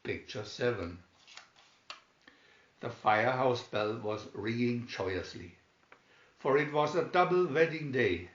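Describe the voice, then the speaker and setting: A man reading a children's adventure story aloud with measured, deliberate phrasing, recorded in an echoey room. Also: medium pitch, expressive, fairly bright tone, lo-fi sound. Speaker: storybook narrator.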